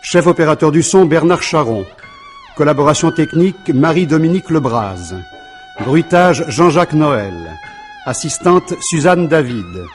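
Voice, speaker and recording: Higher-pitched male radio announcer over music. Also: wildly swooping pitch, unhurried. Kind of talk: formal scripted credits announcement.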